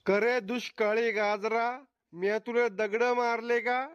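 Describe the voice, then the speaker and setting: A man's voice: extremely high pitch, flat delivery, takes it slowly, fairly bright tone, smooth, no room sound, full character voice. A cantankerous old village grandpa from a comedy cartoon, acted by a man, blunt and boastful. The recording is dry and a bit muffled. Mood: indignant. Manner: blunt, quarrelsome, insulting. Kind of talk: Marathi comedy cartoon acting.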